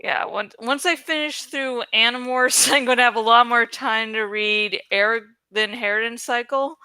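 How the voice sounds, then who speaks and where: Woman, fairly high bright voice, dry close sound, playful rambling vlog chat. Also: moderate pace, clean tone, no room sound, rough recording. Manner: rambling, playful, self-deprecating, giggly. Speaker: YouTuber who reads books aloud. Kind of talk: casual, chatty vlog talk to viewers.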